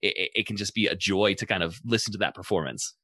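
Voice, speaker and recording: Bright-voiced, lowish man discussing casually. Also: fast, no room sound, clean studio sound.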